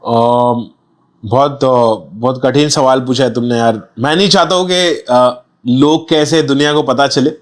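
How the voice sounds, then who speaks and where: A man's voice: medium pitch, measured pace. A young man, a guest on a podcast, speaking candidly and reflectively in casual street slang. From India. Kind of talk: casual interview chat.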